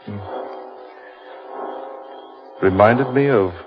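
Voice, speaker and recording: An actor playing a grim, fatalistic man in an old radio horror play, speaking in an ominous, brooding, deliberate way. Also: on the low side. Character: grim, fatalistic man lost in a haunted forest. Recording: muffled old radio recording with music.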